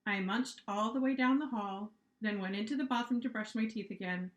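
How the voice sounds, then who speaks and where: A woman reading a children's story aloud as an author, with expressive narration. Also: mid-range voice, brisk, muffled recording. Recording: echoey room.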